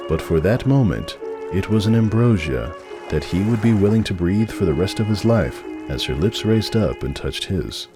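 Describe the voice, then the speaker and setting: A man reading a story aloud as a scripted dramatic narration. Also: deep voice.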